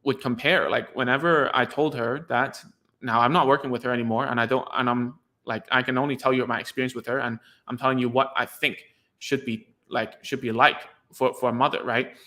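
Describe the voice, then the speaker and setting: A man's voice: medium pitch, fairly even intonation, fairly quick, sharp tone. A man whose first language is Cantonese, a speech therapist giving frank, rambling casual advice to parents, full of "like" fillers.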